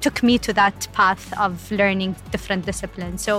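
A woman's voice: medium pitch, fairly even intonation, quick pace, a bit bright, soft background music. A woman from Saudi Arabia, a podcast guest talking earnestly about her upbringing and repeating phrases for emphasis. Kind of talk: interview conversation.

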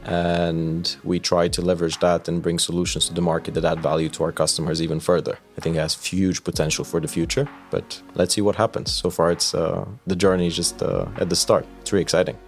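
A young man from Romania, a software engineer, explaining his work in a relaxed, conversational way with a few fillers. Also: low-pitched, fairly quick, hardly any pauses. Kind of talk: recruitment video interview. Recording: soft music bed behind.

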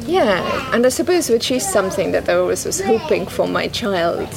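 A woman whose first language is Estonian speaks thoughtfully as a guest, pausing often and restarting her sentences. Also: medium pitch, sing-song, gravelly, a crowd in the background. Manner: thoughtful, hesitant, many restarts and "you know". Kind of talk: reflective conversation about a poem. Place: bookshop, indoors. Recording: noisy public bookshop.

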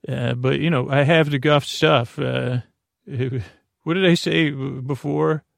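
A man hosting a sleep podcast, with a creaky, gravelly voice, rambling in a meandering, halting monologue that often stops and restarts. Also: a little high, steady tone, dry recording, studio quality. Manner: meandering, halting, self-deprecating.

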